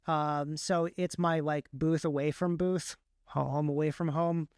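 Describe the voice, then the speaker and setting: Man, slightly high voice, crisp and smooth, casual tech talk, rambling enthusiastic explainer, podcast guest. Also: flat delivery, unhurried, darkish tone, no room sound, studio quality. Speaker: podcast guest, audiobook narrator. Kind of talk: casual technical chat.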